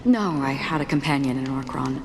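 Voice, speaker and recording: Stoic female wanderer, very deep register, detached and solemn, long reverb, game drama. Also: brisk, noisy background. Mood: melancholy. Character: melancholy, stoic wandering woman warrior. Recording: long reverb, music behind.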